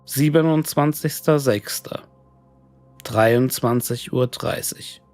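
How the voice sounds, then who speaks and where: A man reading a scripted horror story in a measured, clearly read narration. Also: medium pitch, a little mellow, soft background music. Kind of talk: scripted creepypasta audiobook narration of emails and diary entries.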